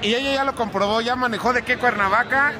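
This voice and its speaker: Man, Mexican Spanish accent, rowdy tipsy banter, fairly high voice, crowd din. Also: fast. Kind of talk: casual chat. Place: crowded indoor expo hall. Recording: loud crowd and noise.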